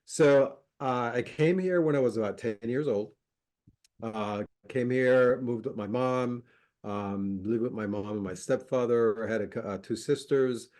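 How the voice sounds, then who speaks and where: A middle-aged man from Colombia, a podcast guest chatting casually, relaxed, with frequent fillers and easy laughter. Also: medium pitch, unhurried. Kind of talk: casual interview chat.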